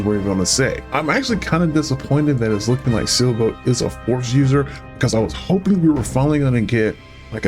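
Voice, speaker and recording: A man hosting a casual solo reaction vlog, talking through his impressions as a reviewer. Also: fairly low pitch. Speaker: YouTube host giving episode reactions.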